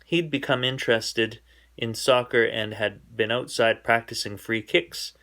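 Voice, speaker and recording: Bright-voiced man reading a bedtime story. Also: medium pitch, fairly even intonation, measured pace. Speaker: children's bedtime story reader. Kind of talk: expressive read-aloud storybook narration.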